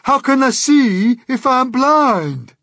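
High, husky, doom-laden earthworm. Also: male voice, lively intonation, measured pace, no echo, clean studio sound, heavily acted. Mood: indignant. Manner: melodramatic, indignant, argumentative. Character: gloomy, irritable blind male earthworm, forever complaining of doom.

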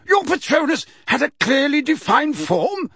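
A man reading aloud, voicing a stern older female judge who booms her questions slowly and emphatically. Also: sing-song, a bit bright, dry recording, clean studio sound, exaggerated voice. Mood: probing. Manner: booming, slow and emphatic.

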